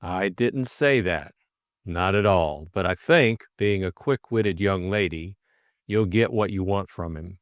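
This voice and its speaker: A man voicing a calm, firm, plain-spoken police superintendent in an audiobook, speaking in a quiet, measured way. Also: deep voice, dry recording, clean studio sound. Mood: guarded. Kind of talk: audiobook character acting.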